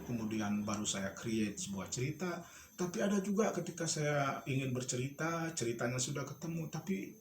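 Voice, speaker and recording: In a reverberant studio with noticeable noise behind him, a man gives an informal lesson. He speaks at an average male pitch, explaining and thinking aloud as he demonstrates. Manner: instructive, thinking aloud while showing objects. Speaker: storytelling instructor and puppeteer-storyteller. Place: indoor home studio. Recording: echoey room with clear background noise.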